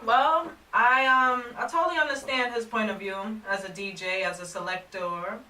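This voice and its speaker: Bright-voiced young woman, slangy chat. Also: a little high, steady tone, normal speed, distant mic. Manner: agreeable, chatty, slangy. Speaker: co-host of a music talk show. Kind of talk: casual studio chat. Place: small radio studio. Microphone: desk microphone. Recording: echoey room, a bit off mic.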